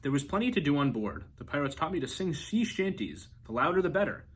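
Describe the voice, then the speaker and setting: A man reading a children's storybook aloud as an expressive narrator. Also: a little high, fast, slightly rough. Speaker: storybook reader.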